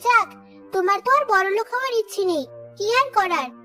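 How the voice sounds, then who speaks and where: A very high, childlike cartoon mother bird, with music playing prominently behind. The little creature is crafty and bold, stubbornly standing up for itself. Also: lively intonation, brisk, bright, forceful tone, exaggerated voice. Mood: sly, needling. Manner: sly and defiant.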